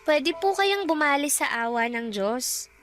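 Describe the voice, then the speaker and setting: An actress playing a young, hungry, innocent Filipino granddaughter in a Tagalog radio drama, anxious and plaintive. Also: female voice, high voice, animated, unhurried, a bit bright, faint music behind.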